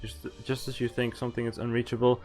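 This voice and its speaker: A man's voice: medium pitch, little pitch movement, fast talker, a little mellow. A man reading visual novel text aloud on a stream, adding casual, sweary, incredulous asides. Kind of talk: reading game text aloud with live reactions. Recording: game music behind the voice. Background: game music.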